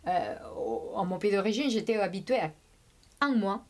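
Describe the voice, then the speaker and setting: A woman whose first language is Spanish, a guest chatting casually in an interview, often pausing, repeating words and laughing. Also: medium pitch, animated. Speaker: immigrant guest.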